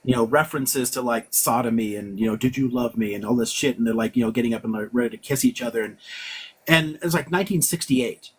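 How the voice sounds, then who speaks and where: A man, a professor, talking bluntly and with a lot of swearing on a casual live stream, quick and full of restarts. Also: medium pitch, slightly rough. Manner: blunt and profane, fast with many restarts. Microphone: live-stream setup in his office.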